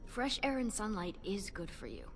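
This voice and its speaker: A woman's voice: medium pitch, sing-song, moderate pace, a little hiss or hum. An actress playing an inquisitive, level-headed female adventurer in a video game. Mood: gently curious.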